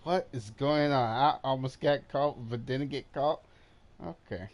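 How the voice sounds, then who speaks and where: A man's voice: mid-range voice, measured pace. A man streaming a game with casual commentary, in short muttered reactions and mild exclamations.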